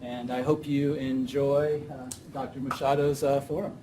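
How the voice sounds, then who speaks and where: A man, director of a research center, introduces a guest speaker with halting, filler-filled phrasing. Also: medium pitch, level tone, crowd noise. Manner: hesitant, many fillers. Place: lecture room. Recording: distant mic, noisy room.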